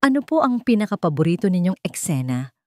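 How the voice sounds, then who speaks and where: Low, mellow woman narrating a story. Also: big pitch swings, quick pace, dry recording, clean studio sound. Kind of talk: scripted dramatic narration of a sentimental romance story.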